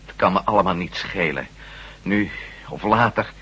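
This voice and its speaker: A man's voice: low voice, moderate pace, sharp tone, lo-fi sound, slight background noise. An actor in a radio drama playing a weary, despondent male prisoner, sullen and terse. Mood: despairing.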